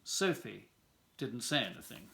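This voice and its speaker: A man's voice: mid-range voice, sing-song, unhurried, creaky, bright, forceful tone, slight background noise. A man reading a children's picture book aloud as a storyteller. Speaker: volunteer story reader.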